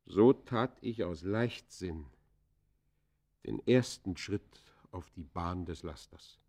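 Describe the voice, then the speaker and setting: An actor playing an embittered outcast poacher in a German radio play, confessing in formal, declamatory phrases with dramatic swells and hushed falls. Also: male voice, sits low, slow pace, gravelly, husky, full character voice. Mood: subdued, rueful. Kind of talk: German radio play acting, literary monologue.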